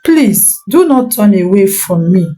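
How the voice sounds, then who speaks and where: Woman doing a soft, pleading snake bride, slow, storybook folktale. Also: medium pitch, very animated, soft background music. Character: young cursed snake bride, gentle and pleading.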